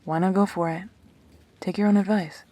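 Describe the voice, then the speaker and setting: A woman's voice: fairly even intonation, normal speed, dark tone, slight background noise, natural voice. A woman acting a wry, blunt but protective immortal best friend in an audio drama, with a dry delivery. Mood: teasing, encouraging. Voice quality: low, husky.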